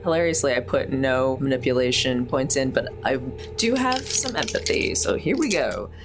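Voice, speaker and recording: A woman's voice: rumbling low, very animated, normal speed, music in the background. A woman chatting casually as a player in a tabletop roleplaying game.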